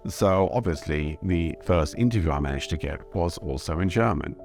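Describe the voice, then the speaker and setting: A young Englishman with an English accent, telling his own story in measured, reflective scripted narration. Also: sits low, lively intonation, darkish tone, faint music behind. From Britain. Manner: thoughtful, measured storytelling, switching between English and German. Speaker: vlogger.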